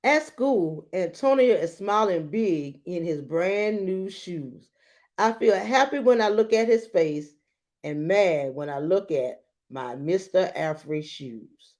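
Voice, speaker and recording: Slow-talking, mellow woman reading to children. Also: lowish voice, expressive. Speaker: children's book read-aloud teacher. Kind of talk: storybook read-aloud with explanatory asides.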